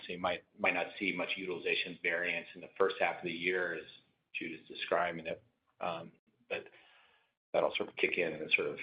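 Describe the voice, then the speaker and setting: A man speaking as an executive on an earnings call, unscripted, with repeated words and roomy, dull sound. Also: sits low. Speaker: airline executive.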